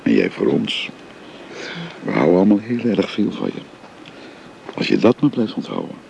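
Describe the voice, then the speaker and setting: An actor playing an older father who gently confides his family history to a young woman, in a radio drama. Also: deep voice, wildly swooping pitch, normal speed, creaky, dull audio, a little hiss or hum, exaggerated voice. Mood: gentle, confiding. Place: recorded on location.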